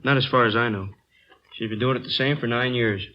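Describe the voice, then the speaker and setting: A male actor plays an American detective delivering details flatly in an old radio drama. His pitch is average for a man. The recording is muffled and vintage. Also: brisk, close to an everyday voice. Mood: matter-of-fact. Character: matter-of-fact male police detective reporting case details. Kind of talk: 1950s radio acting, plain dialogue.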